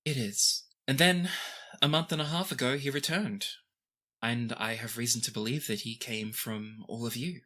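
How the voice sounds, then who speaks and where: A young teenage princess played by a game master speaks in a bright, clear-cut tone. The phrasing is formal and stately, gentle yet guarded, as in a fantasy tabletop game. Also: medium pitch, normal speed, close to an everyday voice. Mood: reserved, wistful.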